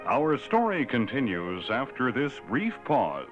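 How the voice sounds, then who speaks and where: A man announcing for a radio program in a measured, formal manner. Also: medium pitch, wildly swooping pitch, over loud music. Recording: muffled old radio broadcast.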